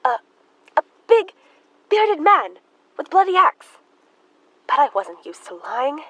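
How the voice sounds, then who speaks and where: A young woman acts out an unhinged, devout killer sister in a radio play. Her voice is very high and she speaks slowly, halting and fervent, in a close, dry recording. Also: sing-song, fairly bright tone, no room sound, full character voice, rough recording. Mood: bitter, mournful. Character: Emma, devout, unhinged axe-murdering sister, adult woman. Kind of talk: high school audio drama acting.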